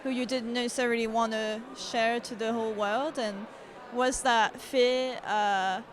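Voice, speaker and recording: A woman from France speaks English, her voice slightly high. She asks her questions casually and a little hesitantly, often relating them to her own experience. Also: steady tone, measured pace. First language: French. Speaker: interviewer, podcast host.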